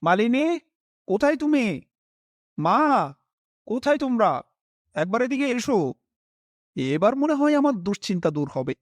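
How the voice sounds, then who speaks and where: Man acting a high-voiced, expressive, worried family man. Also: a little slow, pauses often, no echo, heavily acted. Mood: excited, hopeful. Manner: dramatic, emotive. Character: worried, dutiful family man narrating his own misfortune. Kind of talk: first-person horror story narration with acted dialogue.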